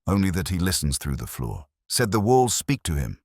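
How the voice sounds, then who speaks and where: A male narrator acts out a frightened workman in a mystery audiobook. He confesses in a halting, pleading way, with a very deep voice that swings in pitch. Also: big pitch swings, no room sound, clean studio sound. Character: frightened, sobbing workman, obedient and guilt-stricken.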